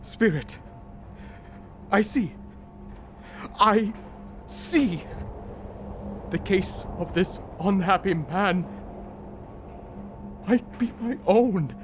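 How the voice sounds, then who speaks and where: An actor playing a frightened, repentant old miser in an audio drama, earnest and pleading. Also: male voice, high voice, full character voice. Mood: anguished. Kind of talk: theatrical audio drama acting.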